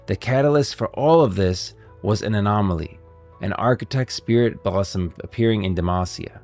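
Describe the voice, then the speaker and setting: A man's voice: on the low side, moderate pace, a little mellow, soft background music. A man streaming game lore commentary, moving between scripted narration and off-the-cuff explanation.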